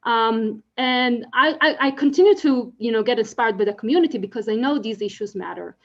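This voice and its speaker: A woman's voice: fairly high pitch, a little fast, highs cut off. A woman from Israel with an Israeli accent, giving an earnest conference presentation full of fillers and restarts. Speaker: conference speaker.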